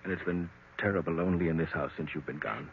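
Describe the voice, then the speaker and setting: A man's voice: deep voice, fairly even intonation, fast, a little hiss or hum. An actor playing a weary, kindly older man with a hesitant, melancholy manner in a 1940s style radio mystery. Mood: melancholy, soothing. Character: weary, kindly, defeated old uncle. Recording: tinny, narrow vintage radio recording.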